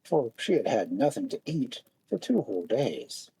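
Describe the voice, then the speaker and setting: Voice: medium pitch, sing-song, normal speed, gravelly, warm tone, husky, no echo, low-quality recording. A storyteller narrating a fairy tale expressively, with dramatic, lingering phrasing.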